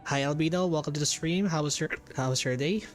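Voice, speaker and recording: A man's voice: a little high, fast. A man chatting casually with viewers while livestreaming a game. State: hiccups. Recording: game music behind the voice. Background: game music.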